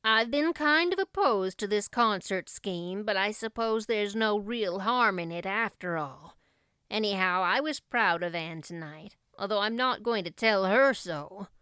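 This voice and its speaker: A no-nonsense older country woman read by a female narrator in a storybook. Her voice is clear and dry, measured and reserved, giving approval grudgingly. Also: mid-range voice, expressive, pushed and bright, no echo. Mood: grudgingly proud. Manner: measured, matter-of-fact, grudging. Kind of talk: audiobook character voice.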